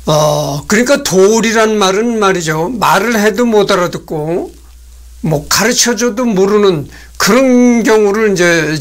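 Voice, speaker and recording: A man in his sixties with a high voice talks alone at a desk in a small room. He speaks slowly and haltingly, with many fillers and restarts, as he reads notes from a screen. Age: 60s. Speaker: host. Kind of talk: solo talk reading notes on a screen.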